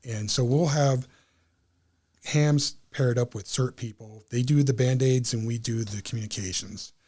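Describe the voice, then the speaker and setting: A man's voice: mid-range voice, unhurried, mellow. A man speaking as a club member and guest in a casual interview, explaining things earnestly.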